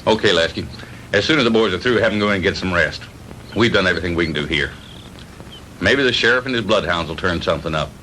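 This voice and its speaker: An actor playing a businesslike police lieutenant in a 1960s B-movie. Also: male voice, low-pitched, fast, bright, forceful tone. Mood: weary, firm. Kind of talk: 1960s B-movie cop dialogue. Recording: noisy 1960s film soundtrack.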